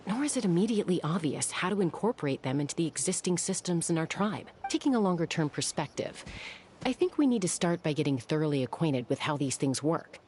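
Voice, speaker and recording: A woman voicing a composed, practical young warrior in a video game, speaking in an even, deliberate way. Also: sits low, a little hiss or hum.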